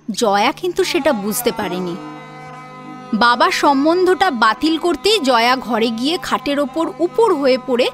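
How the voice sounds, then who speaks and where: A woman narrating a scripted audio drama in a dramatic storytelling style. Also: sits high. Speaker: narrator and voice actor.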